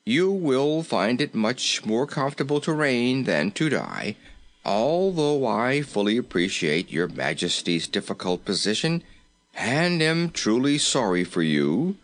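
A man's voice: mid-range voice, very animated, a little slow. A man voicing a respectful, firm royal courtier in a storybook, formal and deferential yet insistent. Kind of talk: storybook character voice.

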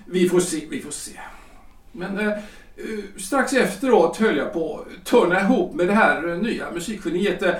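A man's voice: high voice, lively intonation, far from the mic. An actor playing a chatty, self-important middle-aged lawyer husband in a period audio drama, hesitant and stammering as he rambles. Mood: chatty, self-satisfied. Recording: echoey room, off the mic.

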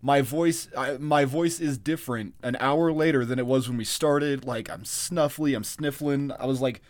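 A man's voice: medium pitch, steady tone, normal speed. A man co-hosting a podcast in casual chat, laid-back and self-mocking, with a bit of swearing. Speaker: podcast co-host.

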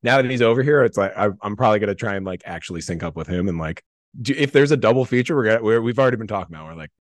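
A man chatting casually as a podcast guest in a rambling, animated, slangy way. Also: on the low side, rapid-fire, no room sound. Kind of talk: casual chat.

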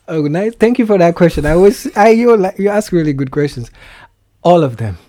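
A man's voice: high voice, animated, dark tone. Young Nigerian-accented man, casual guest, frequent laughs and self-corrections. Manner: laughs often, halting restarts. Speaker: guest musician. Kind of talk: casual interview chat.

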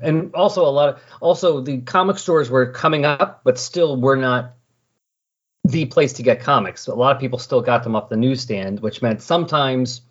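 A middle-aged man with a slightly husky voice hosting a casual comic book discussion in a chatty, explanatory way. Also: mid-range voice. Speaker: podcast host. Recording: dull lo-fi voice recording.

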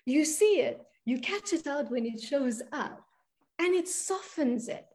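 A woman's voice: sits high, animated, a little slow, a little mellow. A woman from South Africa, a money and spiritual coach, talking earnestly in an interview and often checking in with "right?". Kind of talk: conversational interview.

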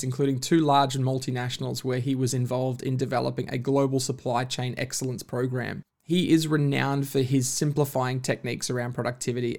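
A man hosting a podcast interview, relaxed and quick to laugh. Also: mid-range voice, vocal fry. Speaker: podcast host. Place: Australia.

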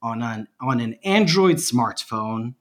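A man's voice: mid-range voice, expressive, slow. A man from Bosnia and Herzegovina, a podcast guest chatting animatedly and mocking himself with frequent laughs. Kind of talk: casual interview chat.

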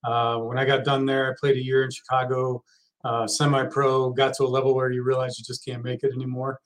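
Relaxed middle-aged coach telling his story. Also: medium pitch, a little fast. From Texas. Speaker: college soccer coach. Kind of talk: interview guest.

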